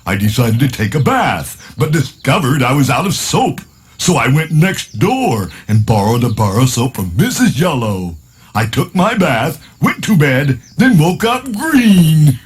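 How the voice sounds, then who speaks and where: Husky, wildly swooping storybook fellow. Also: male voice, a little high, big pitch swings, unhurried, exaggerated voice. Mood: puzzled, earnest. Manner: plaintive, exclaiming, sing-song rhyming. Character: distressed Mister Blue, a color character turned green, excitable male.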